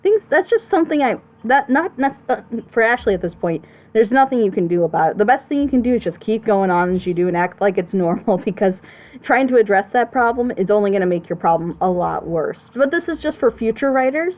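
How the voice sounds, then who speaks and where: A woman with a mid-pitched voice talks through her critique in a casual way. She is emphatic and opinionated, laying out her reasoning at length. The sound is narrow and thin, like a phone line. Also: animated, a little fast. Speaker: panelist on a reaction show. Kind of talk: casual critical commentary on storytelling.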